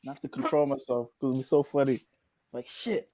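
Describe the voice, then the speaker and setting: A man chatting casually on a live panel from home, relaxed and talkative. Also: mid-range voice, fairly quick, slight background noise. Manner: laid-back, chatty.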